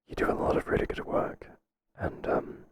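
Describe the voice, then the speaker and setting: A man playing a hesitant, flattering boss in a whispered ASMR roleplay, speaking softly and breathily in a halting, confiding way. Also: close to an everyday voice. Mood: flattering, earnest. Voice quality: soft, breathy, mostly whispered.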